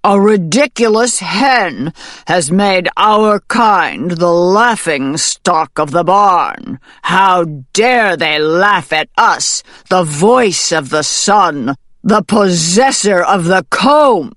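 A woman's voice: fairly low pitch, lively intonation, takes it slowly, a bit bright, no echo, studio quality. A woman doing a pompous, stern old rooster. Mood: indignant. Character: stern proud male rooster.

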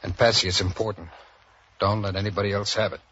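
A man's voice: lowish voice, steady tone, fast talker, bright, forceful tone. An American actor playing a down-on-his-luck ex-convict in a 1940s radio drama, coaxing an old pal in a hesitant, evasive way. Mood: pleading, evasive. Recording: dull vintage radio recording.

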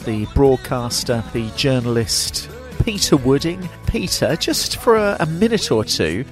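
Chatty male radio host, faint music. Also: mid-range voice. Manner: warm, chatty, lightly joking. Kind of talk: friendly radio interview.

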